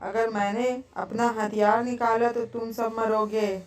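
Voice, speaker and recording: A woman voicing a menacing, bullying male eagle villain in an animated moral story. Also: fairly high pitch, unhurried, lightly acted. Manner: threatening, bullying. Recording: distant mic with faint noise.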